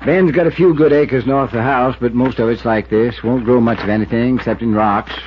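A man's voice: medium pitch, a little fast, over music, lightly acted. An actor playing a folksy, dry-witted small-town lawman with a rural American accent and a laconic, hesitant delivery in a 1950s radio drama. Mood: folksy, matter-of-fact. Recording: dull, noisy 1950s radio recording.

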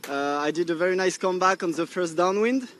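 French-accented young man, halting speech. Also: sits high, a bit bright, slight background noise. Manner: halting, many fillers and restarts, laughs often. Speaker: windsurfer being interviewed. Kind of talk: post-race interview. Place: on a coach boat out at sea. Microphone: handheld microphone.

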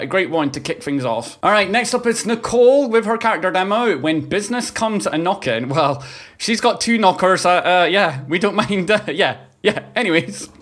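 A man's voice: sits high, fairly bright tone. A man in his thirties giving playful, casual reaction commentary, often repeating phrases for emphasis, on a close mic. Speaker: reaction video host. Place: small indoor room, streaming setup. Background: game trailer music.

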